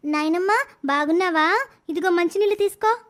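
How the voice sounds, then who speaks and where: Cartoon moral tale: hurt little daughter, tiny very high voice, plaintive and pleading. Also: female voice, brisk, fairly bright tone. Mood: polite. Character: hurt, tearful little girl, neglected stepdaughter. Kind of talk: animated moral story dialogue.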